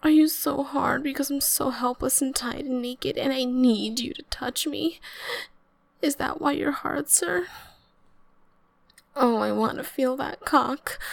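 A young woman voicing an eager, submissive young female elf in an intimate audio roleplay, speaking in a breathy, pleading voice that often drops to a whisper. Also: high voice, full character voice.

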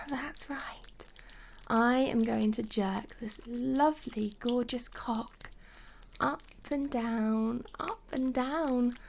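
A woman playing a doting, seductive lover in an intimate adult audio roleplay, breathy and coaxing, often slipping into whispers. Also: a little high, darkish tone. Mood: soft, coaxing.

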